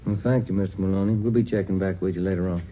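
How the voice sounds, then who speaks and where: Man acting fast-talking detective sidekick. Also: deep voice, steady tone, close to an everyday voice. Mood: courteous. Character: brisk male police detective, the sergeant's partner. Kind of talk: 1950s radio police drama acting. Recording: tinny old radio recording, faint noise.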